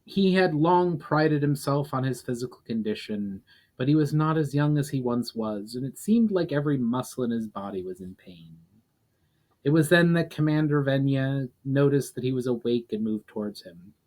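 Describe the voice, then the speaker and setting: Male narrator, mid-range pitch, dramatic reading of epic fantasy prose. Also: animated, moderate pace, a little mellow. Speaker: author reading own fiction aloud. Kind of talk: dramatic audiobook-style reading of a fantasy story.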